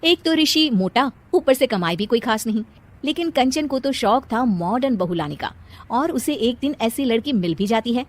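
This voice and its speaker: A woman's voice: on the high side, animated, moderate pace. A woman narrating a family moral story as a scripted storyteller.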